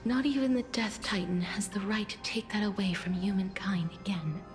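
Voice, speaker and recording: An actress playing a solemn young maiden in a fantasy game, with a soft, breathy, half-whispered voice and formal, earnest delivery. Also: female voice, medium pitch, normal speed, music in the background, natural voice. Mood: tender, grateful. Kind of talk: scripted fantasy game dialogue.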